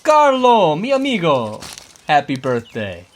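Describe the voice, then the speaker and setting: An actress playing a smug, breezily overbearing rival agency official in a comedy audio drama. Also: female voice, low-pitched, wildly swooping pitch, unhurried, slight background noise, full character voice. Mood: breezy.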